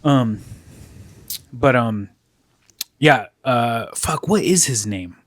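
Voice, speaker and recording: A man's voice: mid-range voice, expressive, extremely slow, a bit bright, no echo. A man co-hosting a casual podcast chat, rambling loosely and swearing as he talks into a studio mic. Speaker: podcast co-host.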